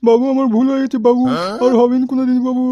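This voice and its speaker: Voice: high voice, monotone, very fast, natural voice. A performer voicing a panicky captive who whines and begs repetitively in a comedy cartoon. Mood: pleading, panicked. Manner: repetitive begging. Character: pleading captive victim, panicky, whining and defiant. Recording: dull lo-fi sound, faint noise.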